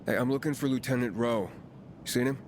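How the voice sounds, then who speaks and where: A man's voice: medium pitch, brisk, background noise, lightly acted. An actor playing a level-headed young male messenger in a video game, plain-spoken and dry. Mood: calm, reassuring. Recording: music playing behind the voice.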